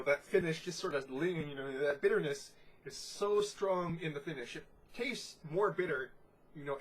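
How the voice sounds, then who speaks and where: A congested man giving a casual, descriptive beer tasting review, unhurried and full of "you know" fillers. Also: on the high side, fairly bright tone, rough recording.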